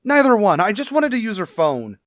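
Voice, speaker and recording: A man's voice: high voice, sing-song, fairly quick, a bit bright, dry recording, studio quality. An actor playing an evasive, easily exasperated male murder suspect in a radio crime drama, answering defensively and testily. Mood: agitated, defensive. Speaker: voice actor in an audio police drama.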